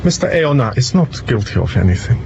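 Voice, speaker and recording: A man playing a polite, precise young gentleman detective in an audio drama, courteous and measured. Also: mid-range voice, very animated, creaky. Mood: calm, confident. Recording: muffled, noisy vehicle ambience behind.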